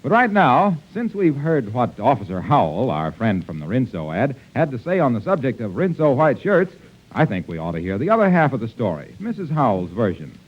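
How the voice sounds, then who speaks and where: A man's voice: medium pitch, huge pitch swings, a little fast, breathy edge. A man announcing a 1940s radio show, reading scripted narration and sponsor links. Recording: muffled 1940s radio recording.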